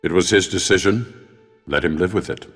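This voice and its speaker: An actor playing a stern, proud and reserved mage in a fantasy game, very deep, grave and curt. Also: male voice, a little fast. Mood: curt, disdainful. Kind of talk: dramatic fantasy game acting. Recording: long reverb, music behind.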